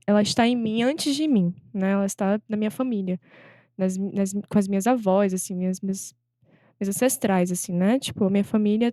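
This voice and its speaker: Woman, mellow reflective talk. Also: medium pitch, no room sound, studio quality. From Salvador, Brazil. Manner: reflective, many fillers and restarts. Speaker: guest artist. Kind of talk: casual interview chat.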